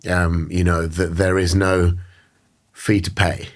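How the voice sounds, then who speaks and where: A British man being interviewed, speaking in a measured, thoughtful way with frequent fillers and restarts. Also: low voice, flat delivery, slight background noise. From Britain. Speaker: guest. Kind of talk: reflective interview talk.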